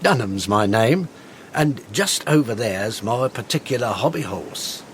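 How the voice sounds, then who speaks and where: Man as a lively, deferential handyman-engineer, roomy sound, audible noise behind. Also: medium pitch, measured pace, natural voice. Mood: proud, friendly. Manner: deferential, chatty, says "Mum". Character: working-class handyman and electrical engineer, proud of his work. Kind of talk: radio drama acting.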